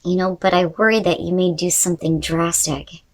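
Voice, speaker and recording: A woman's voice: fairly low pitch, steady tone, normal speed. A woman host giving an unscripted tarot reading to viewers, talking in a conversational, emphatic way with the odd mild swear word.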